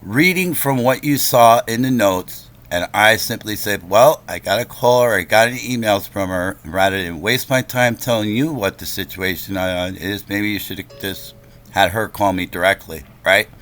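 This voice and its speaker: A man's voice: fairly low pitch, normal speed, fairly bright tone. A man making a complaint on a phone call, speaking in an insistent, confrontational, lecturing manner.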